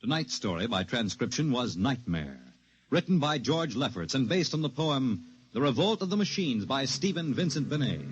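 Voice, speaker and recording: A man's voice: mid-range voice, very animated, moderate pace, muffled recording, a little hiss or hum. A man announcing from a script in formal, dramatic 1950s radio style. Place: broadcast studio, music behind.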